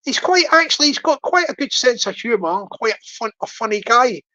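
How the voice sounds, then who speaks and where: A man's voice: very high-pitched, expressive, brisk, bright, forceful tone, muffled recording. An older man with a Scottish accent, hosting his own channel and chatting casually to viewers on a livestream, rambling and repeating words as he restarts his sentences. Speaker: YouTube channel host.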